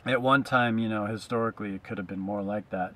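A man's voice: fairly low pitch, steady tone, quick pace, pushed and bright. A man in his thirties, a traveling street musician, thinking aloud in a loose, reflective vlog monologue from inside his car to a dashboard camera. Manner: reflective, musing, loose with fillers.

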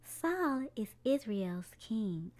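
A woman's voice: a little high, very animated, drawn-out words, darkish tone. A woman hosting a children's storytime, reading a picture book aloud expressively to young children, with music behind her.

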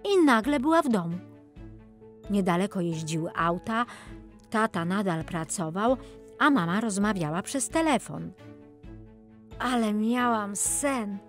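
A woman narrates an audiobook for children. Her pitch sits in the middle and her delivery is expressive, as scripted storytelling. Music plays softly behind her. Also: normal speed.

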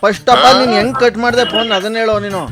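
An irate, bullying male customer acted by a man hectors down the phone in a prank call. His voice sits high and the words pour out extremely fast, full of sarcastic scolding, with music clearly playing behind him. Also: fairly even intonation. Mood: angry hectoring. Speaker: radio prank-call host.

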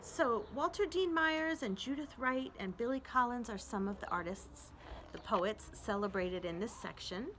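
A middle-aged woman reading poetry aloud expressively with deliberate pauses and casual asides. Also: fairly high pitch, fairly bright tone. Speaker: children's librarian reading to an online audience. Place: outdoors on a house front porch. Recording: outdoor traffic noise, street ambience. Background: street traffic.

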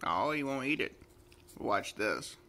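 A man's voice: medium pitch, lively intonation, measured pace, bright, forceful tone. A man casually chatting and coaxing as a pet vlogger, repeating simple questions, filmed on a handheld device indoors at home. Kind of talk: casual chat to camera and dog. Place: indoors at home, hallway and carpeted room. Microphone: handheld phone or camera behind the lens. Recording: noisy amateur home recording.

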